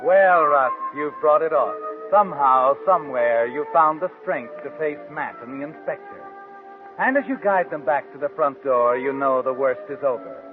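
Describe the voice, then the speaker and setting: An actor playing a sinister, all-knowing narrator in a 1940s radio mystery, speaking dramatically and tauntingly to the protagonist. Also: male voice, fairly high pitch, moderate pace, natural voice. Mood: ominous, taunting. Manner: dramatic, taunting second-person delivery. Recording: muffled old radio, music underneath.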